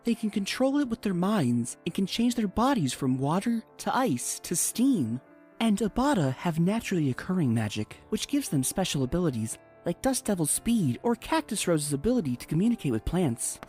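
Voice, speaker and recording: A woman tells a storybook tale as narrator. Her delivery is lively and expressive, with music playing underneath. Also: on the low side, moderate pace. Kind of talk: scripted storybook narration in rhyme.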